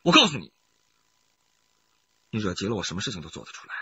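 A man's voice: lowish voice, wildly swooping pitch, fast, sharp tone, dull audio, a little hiss or hum, exaggerated voice. An actor playing a middle-aged, money-hungry husband in a radio crime drama, who repeats his words and swings between coaxing and bullying. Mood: threatening, agitated.

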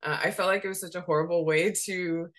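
A young woman from Seattle with a slightly raspy voice, chatting casually as a guest on a video call and laughing often as she talks. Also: lowish voice, fast talker. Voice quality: raspy voice today. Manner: laughs often while talking, relaxed and candid. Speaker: guest, graphic designer. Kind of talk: casual interview chat. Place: home room.

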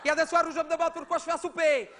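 A rambling, gossipy middle-aged mother, put on by a man in a comedy sketch. She keeps piling on "oui, oui" and talks right over the other person in a very high, thin voice. A faint hiss sits under the voice. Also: flat delivery, very fast. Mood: chatty. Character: chatty, oblivious middle-aged mother at a school meeting.

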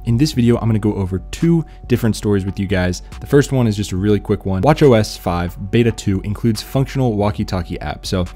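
A man's voice: fairly low pitch, expressive, fast talker, few pauses, mellow, soft background music. A man giving casual, laid-back tech news commentary in an informal, slangy way.

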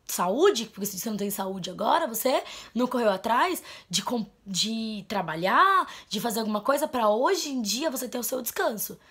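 A young woman, talking into a camera in a small bedroom. Her voice sits a bit high, and she is blunt and emphatic. She drops low, then turns loud to drive a point home. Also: expressive, normal speed, few pauses, slightly rough. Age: 20s. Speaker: vlogger. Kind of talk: heartfelt vent to camera. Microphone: camera mic at close framing.

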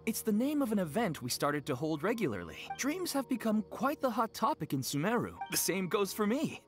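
A woman's voice: mid-range voice, very animated, brisk, lightly acted. A woman voicing an eager, polite townsperson promoting an event in a video game. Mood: welcoming. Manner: polite, enthusiastic. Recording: game music playing behind.

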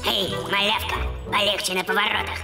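A performer voicing a brash, boastful talking goldfish in a cartoon, with a gravelly, cheeky, slangy delivery. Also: medium pitch, level tone, a little fast, recorded from a distance, over loud music, natural voice. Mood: indignant. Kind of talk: animated cartoon acting.

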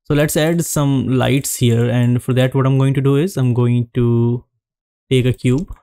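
A man narrating a screen-recorded 3D modeling tutorial, giving calm step-by-step instructions with frequent pauses. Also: mid-range voice, clear, resonant tone, dry recording, clean studio sound.

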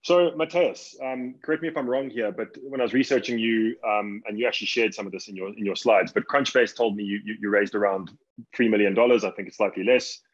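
Husky-voiced man moderating over muffled video call. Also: fairly low pitch. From Africa. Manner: upbeat, quick follow-up questions. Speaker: panel moderator. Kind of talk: moderating a panel. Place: home office with bookshelf.

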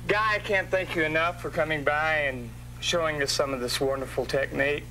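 A man's voice: fairly high pitch, steady tone, fairly quick, noisy background. A man hosting a martial arts segment in a dojo, giving gracious closing thanks to his guest. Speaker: host.

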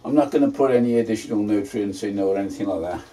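Homely man in his fifties, low dark voice, chatty allotment vlogger, faint background noise. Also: quick pace. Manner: chatty, homely, plain-spoken. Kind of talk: casual gardening vlog narration. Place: inside a greenhouse on an allotment.

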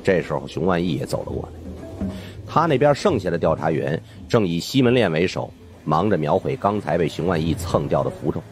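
Male audiobook narrator, deep register, lively swings in pitch, colloquial first-person storytelling. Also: fairly quick, faint music behind.

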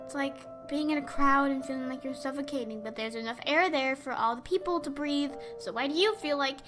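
A teen girl acting a lonely, halting young girl. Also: high-pitched, music in the background, natural voice. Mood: lonely, dejected. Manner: halting, emotional. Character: lonely, anguished young girl pouring her heart out. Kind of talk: dramatic monologue.

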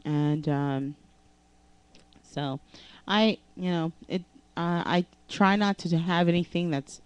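Deep-voiced woman vocal coach, slow. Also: steady tone, a little mellow, clear, resonant tone, no room sound. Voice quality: low speaking voice. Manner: halting, many fillers and restarts. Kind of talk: casual vocal lesson vlog. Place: living room with couch and keyboard. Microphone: handheld microphone.

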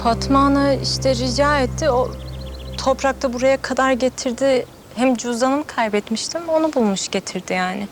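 An actress plays a young woman in a television drama, earnest and vulnerable as a grieving daughter. Her voice sits fairly high and she speaks naturally, with prominent music underneath. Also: moderate pace, natural voice. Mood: polite, uneasy. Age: young adult. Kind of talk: TV drama acting, naturalistic dialogue.

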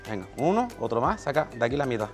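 A man's voice: medium pitch, big pitch swings, fast, soft background music. A man, a chef teaching a cooking class in a small kitchen and guiding an assistant step by step. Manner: practical, directs an assistant at his side. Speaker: chef giving a cooking demonstration.

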